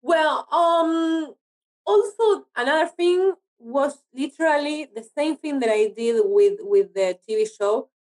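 A woman's voice: high voice, animated, slow pace, darkish tone, rough recording. Woman. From Argentina. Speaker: podcast guest, actor and writer. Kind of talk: casual interview chat.

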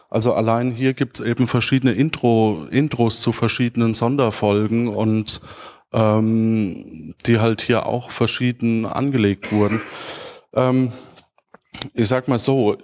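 A man in his thirties with a medium-pitched voice speaks informally as a presenter on an auditorium stage. He searches for his phrasing as he answers questions, holding a handheld microphone. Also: a little mellow. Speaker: conference speaker.

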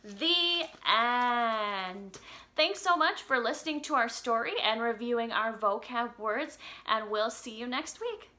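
A woman's voice: fairly high pitch, animated, takes it slowly, bright, forceful tone. A young woman teaching small children and reading a picture book aloud, expressively, with simple questions and exaggerated emphasis. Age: young adult. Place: home room with an upright piano.

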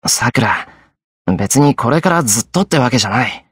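A man's voice: medium pitch, expressive, a little fast, husky, no echo, studio quality. A man playing an earnest, protective and stubborn young man in an anime visual novel, blunt and serious in manner. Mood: stern, concerned.

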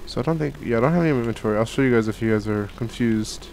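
A man's voice: medium pitch, rapid-fire, creaky, darkish tone, slight background noise. A young man with a cold, rambling through casual game commentary from a home gaming room, full of fillers and restarts. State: catching a cold. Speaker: gaming channel host. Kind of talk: casual Minecraft let's play commentary. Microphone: headset and studio mic at desk.

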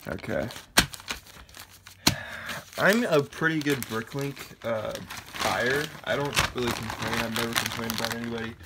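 A young man with a sore, slightly raspy throat, casually chatting through an unboxing with plenty of fillers, filmed on a phone indoors. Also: medium pitch, moderate pace, pauses often. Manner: rambling, many fillers, off-hand. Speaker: Lego hobby vlogger. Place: indoors, room with wooden floor. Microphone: phone camera held over the floor. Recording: noticeable background noise, package crinkling.

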